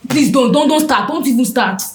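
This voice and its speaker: A woman's voice: high voice, expressive, fairly quick, slight background noise. A woman playing a scornful, bossy young woman in a web drama. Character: haughty young woman bossing others. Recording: roomy sound with music behind.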